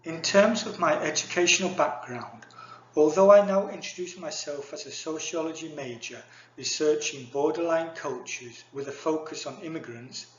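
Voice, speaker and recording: A man giving a measured, formal university lecture read from a script. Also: a little high. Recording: echoey dull lo-fi recording.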